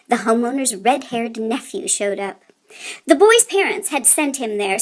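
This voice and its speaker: A woman reading a children's story aloud in an expressive, deliberate way, with theatrical emphasis. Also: high-pitched.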